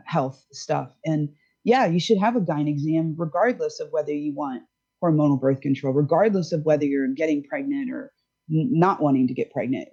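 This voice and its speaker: A woman's voice: sits low, dark tone, breathy edge, lo-fi sound. A woman in her fifties, a sex educator, explaining things frankly and easily in a casual podcast chat. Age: 50s.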